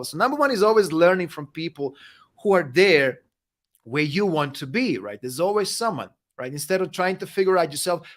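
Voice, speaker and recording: A man whose first language is Serbian, answering interview questions in an energetic, emphatic motivational way, often tagging "right?" onto his points. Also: on the high side. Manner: energetic, emphatic, repeats "right?" and "you know what?". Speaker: guest speaker and coach.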